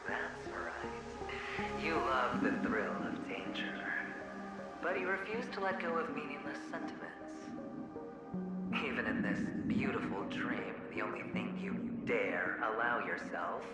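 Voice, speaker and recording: Give the game a taunting phantom double, smug and theatrical. His middle-pitched voice is drenched in ghostly echo. Loud music plays beneath his needling, drawn-out lines. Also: expressive. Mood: mocking. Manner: mocking, theatrical, drawn-out phrasing with chuckles. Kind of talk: dramatic video game voice acting. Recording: echoing processed voice under music.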